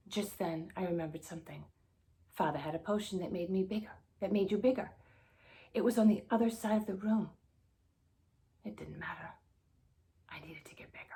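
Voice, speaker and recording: Low-pitched woman reading a story aloud. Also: gravelly, warm tone. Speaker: guest story reader. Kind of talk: expressive storybook read-aloud.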